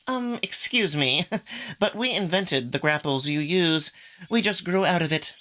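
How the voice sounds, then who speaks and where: Man putting on a high, prim cat-man. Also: lively intonation, a little slow, bright, forceful tone, full character voice, poor audio. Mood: smug, indignant. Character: prim, soft male cat-man companion.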